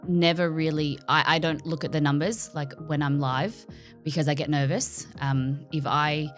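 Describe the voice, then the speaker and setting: A woman with an Australian accent, chatting casually as an interview guest about her cooking streams, speaking with careful articulation. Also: sits low.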